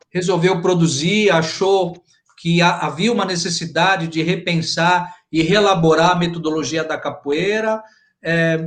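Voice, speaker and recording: A man with a Brazilian Portuguese accent interviewing a guest conversationally, deferential and roundabout, often repeating words as he builds a question. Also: high-pitched.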